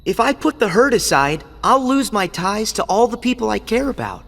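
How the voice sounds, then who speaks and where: A man voicing an earnest, stubborn and kind teenage boy hero in a game cutscene, speaking in a resolute, quietly pained way. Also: high-pitched, very animated, normal speed, vocal fry, heavily acted. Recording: game audio with long reverb and music.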